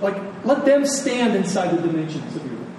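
Male preacher, earnest sermon delivery with repeated words for emphasis; very echoey room, clear background noise. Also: high-pitched, sing-song, a little fast. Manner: earnest, emphatic with repetition for stress. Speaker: pastor preaching to his congregation. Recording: echoey hall, distant mic, noisy background.

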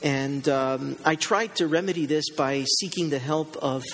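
Gravelly man telling his story haltingly. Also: a little high, fairly bright tone, big-room sound, faint music behind. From born in Germany to an Italian family, raised in Italy and Canada. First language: Italian. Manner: halting, full of uh and um fillers. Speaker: interview guest. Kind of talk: personal testimony in a Q&A.

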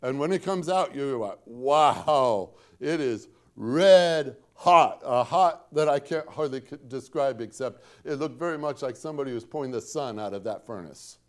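A man's voice: medium pitch, expressive, moderate pace, hardly any pauses. A man preaching a sermon with a Northwest Indiana accent, talking in a conversational, folksy storytelling way with dry self-deprecating asides and emphatic repetition of key points. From Northwest Indiana, USA.

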